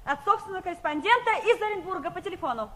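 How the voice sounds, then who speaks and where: Eager young girl: extremely high, rapid, echoey old radio drama recording. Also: expressive, crowd noise. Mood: excited. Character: excitable, breathless young girl bursting with news. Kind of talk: 1960s Soviet radio play acting.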